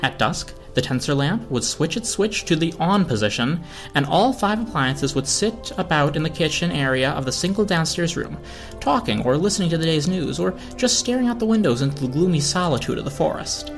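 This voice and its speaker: A man's voice: on the high side, animated, fairly quick, vocal fry, faint music behind. A man reading a scripted story aloud as narrator. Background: orchestral film score.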